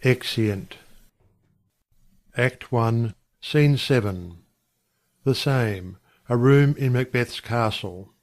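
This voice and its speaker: A man's voice: mid-range voice, extremely slow, long pauses. A man narrating stage directions in a scripted audiobook reading.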